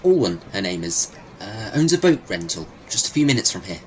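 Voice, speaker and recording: A man plays a hesitant, chatty local in an audio drama. His pitch is lively and his delivery halting and informal, with faint noise behind. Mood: hesitant, helpful.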